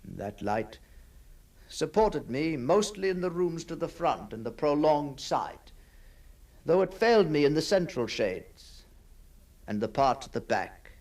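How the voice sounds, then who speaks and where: A man's voice: fairly high pitch, very animated, unhurried, exaggerated voice. An actor playing an obsessed, brooding man who stalks apparitions, telling his ghost story in a theatrical, intense monologue. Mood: proud, absorbed. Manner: theatrical, hushed passages swelling into fervent declamation. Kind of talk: dramatic first-person ghost story monologue.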